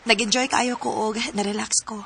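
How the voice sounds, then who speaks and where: An affectionate, attentive Filipina girlfriend in a radio drama. Her voice sits fairly high, with faint ambient noise behind it. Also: fairly even intonation, normal speed, creaky, a bit bright. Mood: sweet, concerned.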